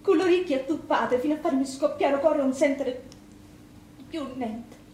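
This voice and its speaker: A bitter, wounded Sicilian widow played by an actress pours out her grievances in a high, impassioned voice. Her Sicilian dialect moves between murmur and outcry on a theatre stage, in a roomy sound with faint noise. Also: normal speed, mellow, lightly acted. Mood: anguished, resentful. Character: bitter battered Sicilian widow, youngest of three sisters.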